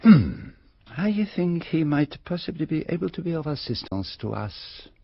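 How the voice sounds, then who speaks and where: An actor playing a courteous, methodical older Belgian detective with a French-speaking Belgian accent, formal and probing in a radio drama. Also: male voice, a little high, big pitch swings, moderate pace, creaky, dry recording, exaggerated voice. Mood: pensive, probing. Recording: slightly dull radio recording.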